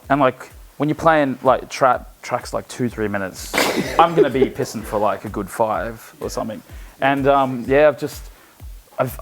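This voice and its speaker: A young DJ tells a rambling, sweary party story in casual chat. His voice sits in the middle of the male range. Faint music plays behind him. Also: mid-range voice.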